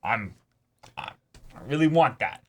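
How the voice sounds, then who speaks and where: A man co-hosting an anime reaction show in casual banter, excitable, swearing freely and repeating words for emphasis. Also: medium pitch, slow pace, breathy edge. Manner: casual profanity.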